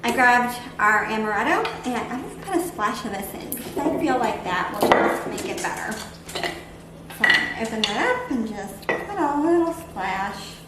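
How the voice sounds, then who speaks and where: A woman's voice: a little high, animated, normal speed. A woman chatting casually as host of a home cooking vlog while she demonstrates things. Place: indoors at home, kitchen. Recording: echoey room with background noise.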